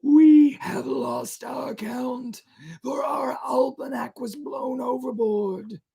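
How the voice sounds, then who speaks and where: A reader voicing a weary ghostly sailor who pleads in a high, strained, sobbing voice. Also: steady tone, slow pace, gravelly, husky, full character voice. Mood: anguished. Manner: drawn out, crying and shouting by turns.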